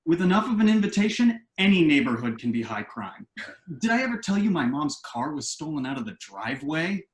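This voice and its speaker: An actor playing an earnest, anxious adult son in a conversational comedy play reading, explaining things in an echoey room. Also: male voice, on the high side, very animated, a little fast. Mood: wry, exasperated. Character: earnest adult son, anxious and quirky about house rules.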